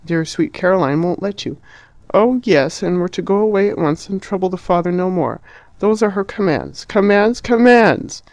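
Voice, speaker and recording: A woman's voice: medium pitch, normal speed, a little mellow, no room sound, voice pushed up, natural voice. A man voicing an agitated, indignant sister who scolds in hysterical bursts.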